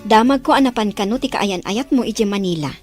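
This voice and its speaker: A woman's voice: medium pitch, brisk, faint music behind, close to an everyday voice. A woman playing a middle-aged mother reproaching her grown child in an audio drama. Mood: reproachful. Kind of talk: acted audio drama dialogue.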